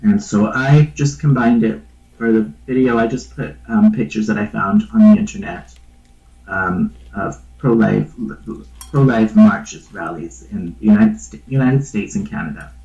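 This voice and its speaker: A congested, slightly sick man with a nasal voice, rambling through a casual opinion monologue with low energy and many ums. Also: expressive, unhurried, vocal fry, a little mellow, roomy sound. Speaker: channel host. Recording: dull lo-fi recording.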